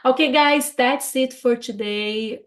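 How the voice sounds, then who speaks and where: A woman with a Brazilian Portuguese accent talks at a slow, unhurried pace in a relaxed English lesson podcast. Her voice sits in a middle range, and she laughs easily as she explains words. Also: high voice. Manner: laughs often, slow and careful. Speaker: English teacher and co-host.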